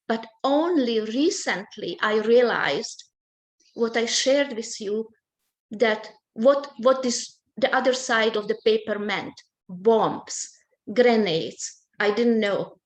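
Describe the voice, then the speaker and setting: An older woman, speaking in a slightly high voice. She talks gently and haltingly, often restarting her sentences as she searches for the words. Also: low-quality recording. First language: Hungarian. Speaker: author being interviewed. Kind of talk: personal recollection in a book talk.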